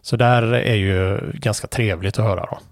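A man's voice: lowish voice, steady tone, no echo, clean studio sound. Man patiently teaching Morse procedure. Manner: unhurried, many fillers, spells out letters and call signs. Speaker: amateur radio instructor. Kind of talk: explaining Morse code procedure.

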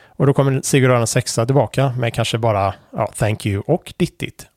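A man calmly explaining Morse code conventions in a casual tutorial, pausing often with fillers and restarts. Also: medium pitch, noisy background. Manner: relaxed, hesitant with frequent fillers and restarts. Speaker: amateur radio instructor.

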